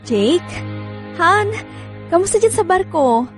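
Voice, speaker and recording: Caring wife in radio drama. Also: female voice, very high voice, sing-song, slow, heavily acted. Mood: concerned. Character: caring, inquisitive Filipino wife and mother in her thirties. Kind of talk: naturalistic radio drama dialogue. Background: music bed.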